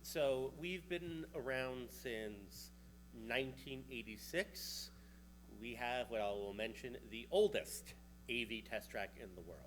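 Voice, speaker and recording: A man's voice: mid-range voice, expressive, slow pace, a little hiss or hum, low-quality recording. A man with a New York accent gives a casual conference talk about vehicle technology, chatty and joking now and then. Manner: casual and chatty, with a joking aside here and there. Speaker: researcher presenting at a conference.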